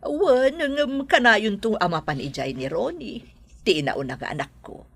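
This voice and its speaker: A woman's voice: mid-range voice, big pitch swings, moderate pace, gravelly, a bit bright, husky, exaggerated voice. A woman with an Ilocano accent playing a composed, authoritative, well-off mother in a radio drama.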